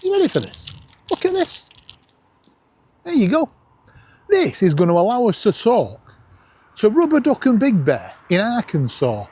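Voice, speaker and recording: Male vlogger, Northern English accent. Slightly rough, husky tone, highly animated intonation, cheeky self-mocking chat. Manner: jokey, animated, self-deprecating. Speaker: vlog host. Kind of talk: casual vlog chat. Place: outdoors at night on a seafront promenade. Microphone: camera worn around the neck.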